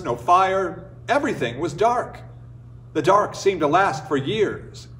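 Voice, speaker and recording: A man reading a folktale as a storyteller, with expressive, dramatic and measured phrasing. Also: fairly high pitch, far from the mic, a little hiss or hum. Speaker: storyteller, reader. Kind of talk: expressive scripted folktale narration.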